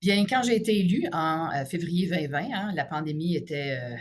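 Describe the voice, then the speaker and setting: A woman in her fifties with a Franco-Ontarian accent, a teachers' union president giving a podcast interview. She speaks in a measured way, filling her pauses with "euh" and "là". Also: low voice, lively intonation. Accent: Franco-Ontarian French. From Ottawa region, Ontario, Canada. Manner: measured, frequent "euh" and "là" fillers.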